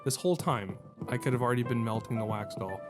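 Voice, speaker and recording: A man's voice: mid-range voice, fast talker, over music. Wry man doing casual gaming commentary. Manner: wry, jokey, thinking aloud. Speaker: gaming video host. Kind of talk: casual let's-play commentary. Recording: game ambience and noise behind voice.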